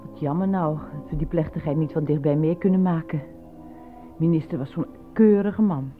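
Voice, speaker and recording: An actress in a Dutch radio play voicing a worn-out working wife who speaks plainly and reproachfully. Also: female voice, sits low, moderate pace, natural voice. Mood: wistful. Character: nagging, worn-out working wife in a dystopian city. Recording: dull lo-fi radio recording, faint music.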